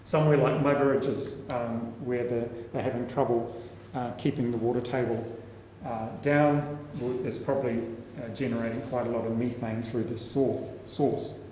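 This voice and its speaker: A man's voice: medium pitch, steady tone, normal speed, warm tone. A man, giving a seminar talk as a university researcher, explaining step by step with frequent ums and restarts. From New Zealand. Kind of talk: conference talk. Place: meeting room, indoors. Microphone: stand mic at a lectern. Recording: echoey hall, faint room noise.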